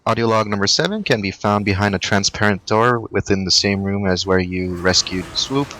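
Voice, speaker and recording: A man narrating a video game walkthrough, giving brisk, matter-of-fact directions to collectibles. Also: fairly low pitch, pushed and bright, a little hiss or hum, rough recording. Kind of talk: walkthrough voice-over.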